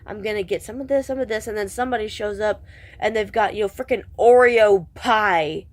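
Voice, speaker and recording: A woman's voice: mid-range voice, brisk. Stuffed-up woman rambling in a vlog. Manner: rambling, self-deprecating, restarts sentences often. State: stuffed up, congested. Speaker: vlogger. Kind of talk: casual vlog chat.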